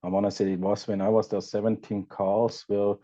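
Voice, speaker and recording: A man from Austria with an Austrian accent, a podcast guest chatting casually and pausing to search for words. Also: lowish voice, level tone, warm tone, dry recording, highs cut off. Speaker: guest, EV content creator. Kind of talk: casual interview chat.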